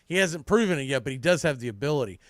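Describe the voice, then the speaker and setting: A man's voice: medium pitch, lively intonation, very fast, a bit bright, no room sound, clean studio sound. A man hosting a sports podcast, giving opinionated, unscripted baseball commentary in a blunt, emphatic way, often restarting and repeating phrases.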